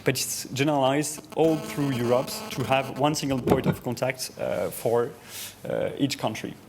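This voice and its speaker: French-accented man giving a halting presentation. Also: medium pitch, vocal fry, background noise. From France. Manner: hesitant, frequent "uh" fillers and restarts. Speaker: speaker presenting to an audience. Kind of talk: presentation talk.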